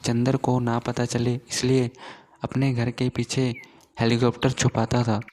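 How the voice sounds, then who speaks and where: Slow, even-toned male storyteller. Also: mid-range voice. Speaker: narrator. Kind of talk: scripted children's story narration.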